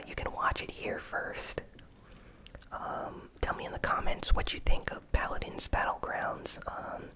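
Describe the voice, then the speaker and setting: ASMR news host for a gaming channel; fully whispered, breathy, casual and informal. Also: extremely high pitch.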